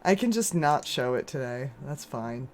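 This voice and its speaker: A woman streaming and chatting casually with viewers, with a hoarse, scratchy voice from a sore throat and a rambling, chatty way of talking. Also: big pitch swings, moderate pace. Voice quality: lower and scratchier than usual. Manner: rambling, chatty, with frequent restarts and laughs. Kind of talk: casual chat while gaming.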